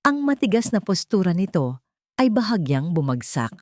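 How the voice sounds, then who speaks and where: A woman's voice: on the low side, sing-song, normal speed, a little mellow, no room sound, studio quality. A woman with a Filipino accent reading a dramatic scripted story as its narrator.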